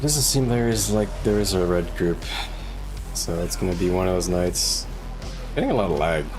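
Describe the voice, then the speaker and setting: Guy streaming a game w music going loud, lowish voice, casual, kinda halting thinking out loud. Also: lively intonation, low-quality recording. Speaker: game livestreamer. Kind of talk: casual live gaming commentary, advising chat.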